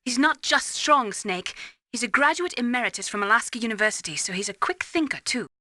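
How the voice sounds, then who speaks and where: An actress playing a composed, concerned military medical adviser in a video game, speaking in a measured, informative way. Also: female voice, fairly high pitch, animated, bright, forceful tone, no room sound, clean studio sound.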